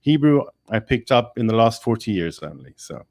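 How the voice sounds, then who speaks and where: A male engineering professor speaking in a webinar discussion, energetic and emphatic, with many restarts. English is not his first language. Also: lowish voice, normal speed, no echo, studio quality.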